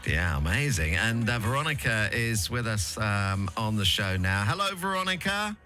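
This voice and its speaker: A male radio presenter with a lively, bright voice, swinging up and down in pitch. He asks questions in a relaxed, conversational way, with plenty of ums. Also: moderate pace, faint music behind. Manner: relaxed, conversational, many fillers. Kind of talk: radio interview.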